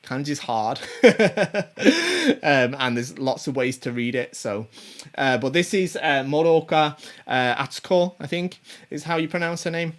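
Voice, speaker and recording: A man's voice: fairly high pitch, lively intonation, unhurried. A man in his forties hosting a show, giving casual commentary on tournament players, full of ums, restarts and little chuckles. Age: 40s. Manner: rambling, many ums and restarts; chatty, chuckles to himself. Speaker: show host.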